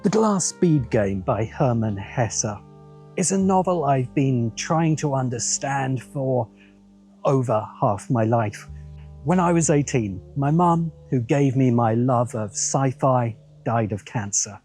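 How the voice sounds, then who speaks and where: A man hosting and narrating a scripted video essay in a measured way, with wry asides. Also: mid-range voice, a little mellow, faint music behind. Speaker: host.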